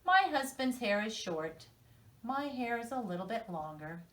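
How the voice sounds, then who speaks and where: Female preschool teacher, American, unhurried and highly animated storytelling for little learners, heard slightly away from the mic. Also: recorded from a distance. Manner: instructional, question-and-prompt delivery for children. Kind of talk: reading lesson and storytelling for young children.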